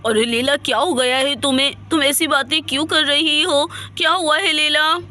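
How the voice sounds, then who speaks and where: A woman's voice: sits high, fairly even intonation, moderate pace, sharp tone. A woman voicing a distraught, anxious relative of a patient in a dramatised story, pleading with repeated questions. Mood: alarmed.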